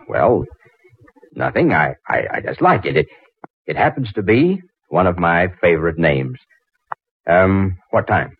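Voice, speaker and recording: A man's voice: deep voice, sing-song, measured pace, pauses often, husky, heavily acted. An actor playing an eager, flustered, smitten young inventor in 1940s radio drama style, hesitant and muttering as he goes. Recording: muffled old 1940s radio recording.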